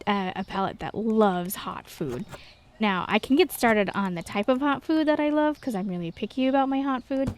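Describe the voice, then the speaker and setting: An American woman doing casual, chatty gameplay commentary, rambling and self-deprecating as she tells personal stories. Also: mid-range voice. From Texas, United States. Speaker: gaming video host.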